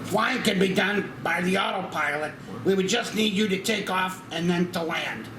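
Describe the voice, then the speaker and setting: Man playing an excitable, high-voiced airline man, echoey room. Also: normal speed, lightly acted. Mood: hopeful. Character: earnest, excitable male airline man urging a pilot. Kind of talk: screenplay table read. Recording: echoey room with background noise.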